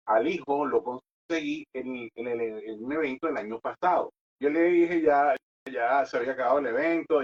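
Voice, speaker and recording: A man's voice: mid-range voice, slightly rough. A man with a Venezuelan accent hosting a radio interview in an informal, joking, teasing way. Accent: Venezuelan Spanish. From Venezuela, born in Caracas and raised in Aragua. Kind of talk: casual joking chat. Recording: dull, slightly distant radio audio.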